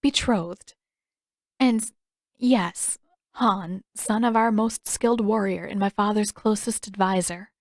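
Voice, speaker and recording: A woman voicing a gentle, regretful teenage princess in an audiobook, with soft, careful, polite phrasing. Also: medium pitch, takes it slowly, dry recording, clean studio sound. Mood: resigned. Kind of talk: audiobook character dialogue.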